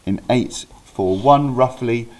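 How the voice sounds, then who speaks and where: A man's voice: mid-range voice, takes it slowly, poor audio. A man from Wales, teaching history in a casual way, with many ums and restarts and the odd correction of himself. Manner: chatty, self-correcting, with frequent ums and restarts. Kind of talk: informal recorded history lesson.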